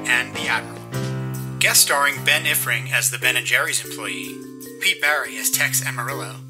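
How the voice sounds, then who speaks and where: A man announcing the closing credits with deadpan, self-mocking humor. His voice sits in an ordinary middle pitch. A clear music bed plays under him. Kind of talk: scripted credits narration.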